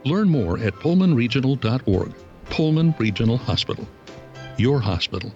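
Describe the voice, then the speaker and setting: A man reading a scripted radio commercial in a smooth, measured way. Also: medium pitch, lively intonation. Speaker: commercial announcer. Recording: dull lo-fi radio ad with music.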